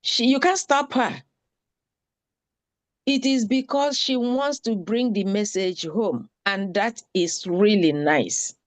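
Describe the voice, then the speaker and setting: A woman speaks slowly and deliberately, urging her listeners to join in and take part. Her voice is mid-pitched and comes across dry and close, with almost no room sound. She leans on repetition to press each point home. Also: no room sound. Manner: slow, pleading, repeats for emphasis. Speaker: town hall participant. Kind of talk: earnest spoken appeal at an online meeting.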